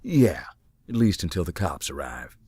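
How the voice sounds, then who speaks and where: An actor voicing a calm young man who hunts ghosts, speaking in a dry, matter-of-fact way. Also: deep voice, moderate pace, slightly rough, heavily acted. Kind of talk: visual novel voice acting.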